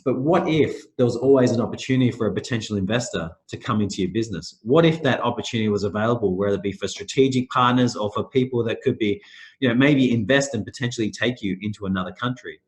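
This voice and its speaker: A man's voice: fairly low pitch, fast talker. Nasal, under-the-weather man presenting. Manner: loose, repetitive phrasing with many restarts and fillers. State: nasal, sick with a sore throat. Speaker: company managing director presenting a webinar. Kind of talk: webinar presentation.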